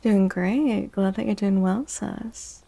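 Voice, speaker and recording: Soft-spoken, creaky-voiced woman streamer. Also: medium pitch. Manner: chatty, unhurried, greets viewers by name. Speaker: live streamer hosting ASMR tarot stream. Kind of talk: soft-spoken ASMR livestream chat.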